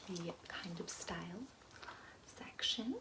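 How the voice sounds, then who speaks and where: A woman whispering a soft-spoken ASMR roleplay as a ditzy, materialistic class instructor, breathy and chatty with girlish, rambling asides. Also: on the low side, takes it slowly, very noisy. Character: ditzy, materialistic gold-digger giving a class.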